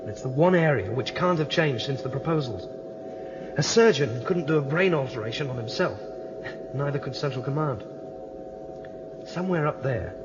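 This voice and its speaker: An actor playing a determined rebel, explaining methodically and earnestly in a radio drama. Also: male voice, mid-range voice, natural voice. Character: determined male rebel planning to shut down a ruling computer. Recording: dull lo-fi recording, clear background noise.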